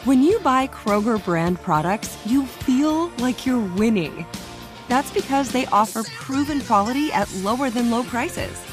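A woman's voice: on the high side, expressive, a little slow. A woman delivering a promotional advertisement as an announcer. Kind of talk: promotional ad read. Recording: music bed behind voice. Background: music.

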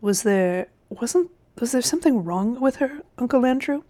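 Plucky storybook schoolboy, played by a woman: raised pitch, blunt, urgent, suspicious, quick to protest. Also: expressive, dark tone, lightly acted. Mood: questioning. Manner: urgent, protesting, old-fashioned schoolboy speech. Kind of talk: storybook character voice.

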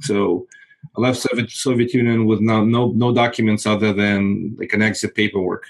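Man, 40s, from Moldova, native Russian speaker, lowish voice, measured, real estate investor being interviewed. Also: fairly even intonation, creaky, poor audio. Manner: measured, repeats words when searching. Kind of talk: casual interview conversation.

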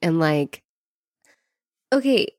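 A woman's voice: deep voice, lively intonation, drawn-out words, a little mellow, no room sound, clean studio sound. An American woman co-hosting a podcast in casual conversation, informal and peppering her talk with "like". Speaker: podcast co-host. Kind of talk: casual chat.

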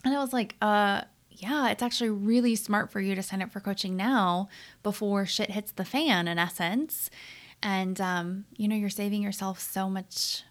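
A woman's voice: mid-range voice, fairly even intonation, moderate pace. A woman in her thirties hosting her own podcast, talking candidly and conversationally into a studio mic. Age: 30s. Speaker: podcast host and leadership coach. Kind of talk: solo podcast monologue.

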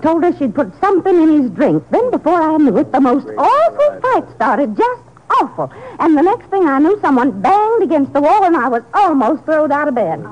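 A woman's voice: sits high, very animated, normal speed, few pauses, a little mellow, muffled recording. An actress playing a fussy, easily shocked older woman witness in a 1950s radio drama. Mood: scandalized. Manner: prim, breathless, scandalized storytelling.

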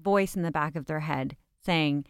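A woman's voice: low voice, moderate pace, smooth, no echo, studio quality. A woman hosting an interview, asking questions and responding with encouraging affirmations. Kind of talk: conversational interview.

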